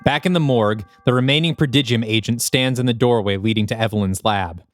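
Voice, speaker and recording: Mid-pitched male audio drama narrator. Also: brisk, faint music behind.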